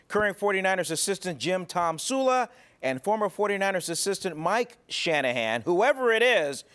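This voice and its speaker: Animated, high-pitched man anchoring sports. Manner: punchy, playful showmanship. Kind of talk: lively sportscast, scripted narration. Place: TV news studio.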